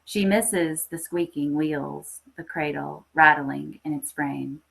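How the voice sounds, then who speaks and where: A woman's voice: deep voice, steady tone, slow, gravelly, fairly bright tone, poor audio. A woman who hosts a story podcast, reading aloud and speaking with enthusiasm. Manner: enthusiastic, chatty. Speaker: podcast host and story reader. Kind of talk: dramatic story reading, then casual live hosting.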